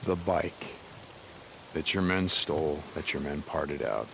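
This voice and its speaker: An actor playing a gruff, weary biker drifter in a post-apocalyptic video game, with terse, muttered delivery. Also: male voice, a bass voice, measured pace, background noise, full character voice. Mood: wry, guarded. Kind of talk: naturalistic cinematic game acting.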